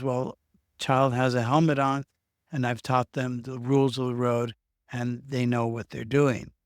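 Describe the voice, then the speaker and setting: A man's voice: medium pitch, no room sound, studio quality. A man talking through ideas on worry in a loose, rambling way, often restarting words mid-sentence. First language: English. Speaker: self-help video host. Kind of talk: unscripted explanatory talk. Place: Norway.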